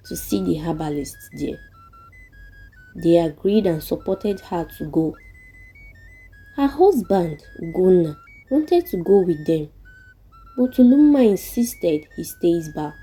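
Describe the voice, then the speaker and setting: A Nigerian woman narrates a folktale with a very dark, soft tone that is husky at the edges. She takes her time, reading deliberately as a storyteller. Music plays clearly behind her voice. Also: lowish voice, low-quality recording. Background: background music.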